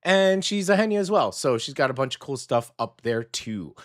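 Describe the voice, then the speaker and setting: A man hosting a morning radio show in casual, chatty talk, his voice hoarse and gravelly after a weekend out. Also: medium pitch, very animated, normal speed. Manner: upbeat and chatty, with many fillers.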